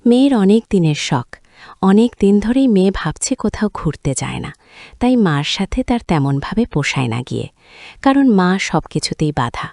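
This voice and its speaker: A woman's voice: mid-range voice, big pitch swings, normal speed, gravelly, darkish tone, dry recording, studio quality. A woman tells a family story in an informal, colloquial way, as a storyteller who voices the dialogue herself.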